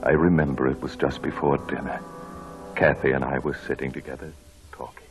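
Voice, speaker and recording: An actor playing a genial, tender, well-bred gentleman in a 1940s radio drama. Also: a bass voice, little pitch movement, brisk, creaky. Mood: wistful. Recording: dull old radio broadcast, music behind.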